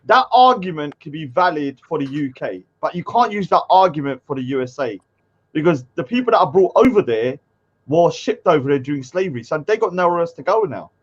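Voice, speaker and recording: A man's voice: on the high side, lively intonation, fairly quick, dull audio. A man hosting and moderating a heated debate, jokey and trying to keep order. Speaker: show host and moderator.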